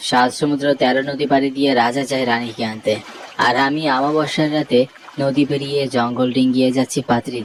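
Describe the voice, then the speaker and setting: A man's voice: medium pitch, slight background noise, close to an everyday voice, low-quality recording. Man acting a timid young man, fearful storyteller. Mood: uneasy. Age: young adult. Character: timid, anxious young city man afraid of ghosts. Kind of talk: first-person dramatic horror story narration.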